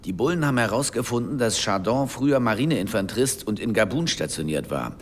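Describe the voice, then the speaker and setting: A man's voice: mid-range voice, lively intonation, a little fast, slight background noise, lightly acted. A man playing a loyal aide who reports news to his boss in a matter-of-fact, deferential way. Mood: reporting. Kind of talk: radio drama acting. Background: music.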